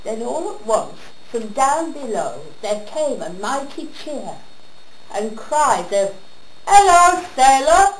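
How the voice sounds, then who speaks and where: A woman performing a comic rhyming monologue in a theatrical storytelling manner with deliberate pauses, recorded in a roomy space. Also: a little high, big pitch swings, a little mellow. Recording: roomy echo with background noise.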